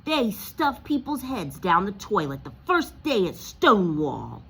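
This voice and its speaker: A woman's voice: mid-range voice, very animated, unhurried, fairly bright tone, husky, pitched above the performer's own voice, heavily acted. A woman voicing a mean, taunting boy bully while reading a storybook aloud.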